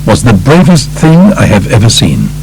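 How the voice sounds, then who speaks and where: A man voicing a solemn judge in an audiobook, formal, measured and rhetorical. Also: on the low side, huge pitch swings, darkish tone, slight background noise, poor audio. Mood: grave, questioning. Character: solemn male judge weighing a criminal informant's worth. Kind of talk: audiobook character reading.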